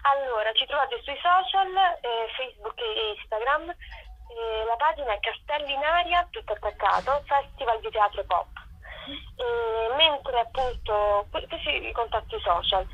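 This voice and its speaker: A woman's voice: fairly high pitch, expressive, a little slow, slightly rough, no room sound. A woman being interviewed on radio over the telephone, talking conversationally and searching a little for her words. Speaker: festival co-director, interview guest. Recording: dull, lo-fi telephone line.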